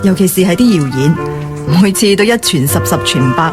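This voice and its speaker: A woman acting a capable, polite young career woman in a radio drama, measured and guarded. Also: lowish voice, expressive, over music, lightly acted. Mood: courteous, measured. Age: young adult.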